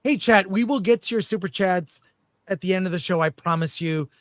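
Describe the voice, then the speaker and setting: Male livestream host, high-pitched voice, casual chat delivery, dry close sound. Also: brisk, dry recording. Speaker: talk show host.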